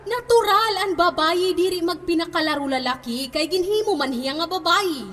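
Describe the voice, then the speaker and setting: A woman's voice: very high voice, animated, moderate pace, over a noisy crowd. A woman acting a stern, self-appointed defender of morality, declaiming preachily on stage. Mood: preachy, righteous. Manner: declamatory, preachy, rhetorical questions. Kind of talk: stage play monologue, declaiming. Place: stage in a reverberant hall. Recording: echoey stage hall, background noise.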